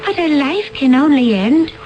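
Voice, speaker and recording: An actress playing a gentle, innocent young alien woman who sounds bewildered and pleading. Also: high-pitched, very animated, normal speed, highs cut off, soft background music. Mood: frightened.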